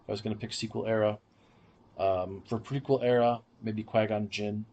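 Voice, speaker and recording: A man's voice: on the low side, steady tone, normal speed, darkish tone, highs cut off. A man hosting a podcast, giving an explanatory, opinionated review in casual discussion. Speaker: podcast host.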